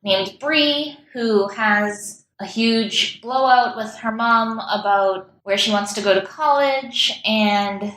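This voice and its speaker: A woman's voice: fairly high pitch, slow, few pauses, a bit bright, a little hiss or hum. A woman chatting informally and enthusiastically as a guest playwright in an interview. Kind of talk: casual interview chat. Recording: roomy, echoing sound.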